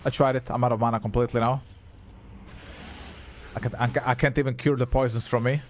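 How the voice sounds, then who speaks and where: A man's voice: medium pitch, level tone, fast, slight background noise. A young man whose first language is Croatian, chatting casually with viewers and swearing now and then while he plays on a live stream. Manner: chatty, reads and answers chat, swears casually. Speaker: game streamer.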